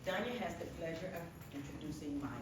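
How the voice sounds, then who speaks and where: An older woman talks loosely and playfully from a podium, praising people and cracking jokes as she goes. Her voice bounces around a big echoey hall, heard from a distance over loud background noise. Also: low voice, fast talker. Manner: chatty, warm-hearted, joking, laughs often. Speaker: organization leader introducing the panel. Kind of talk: casual conference introduction. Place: large conference room.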